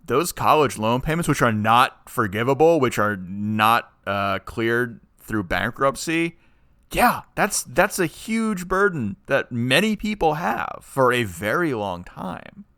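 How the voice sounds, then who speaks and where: A man's voice: mid-range voice, very animated, slow pace, bright, forceful tone, no echo, clean studio sound. A man co-hosting a podcast in casual, opinionated chat, speaking emphatically and argumentatively and often digressing. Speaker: podcast co-host.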